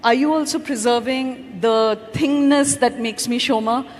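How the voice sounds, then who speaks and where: A woman's voice: a little high, steady tone, unhurried. A woman moderating a conference panel, asking probing questions in an informal way, thinking aloud as she goes. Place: large conference hall. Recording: reverberant hall with faint noise.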